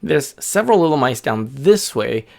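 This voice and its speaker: A man voicing a small, friendly, eager male bird in a children's story, chatty and excitable with halting speech. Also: medium pitch, gravelly. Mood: helpful. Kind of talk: improvised children's story character voice.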